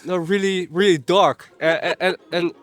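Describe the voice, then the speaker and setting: A man's voice: high-pitched, steady tone, moderate pace, faint music behind. A man from the Netherlands answering a street interview, earnest and explaining with restarts.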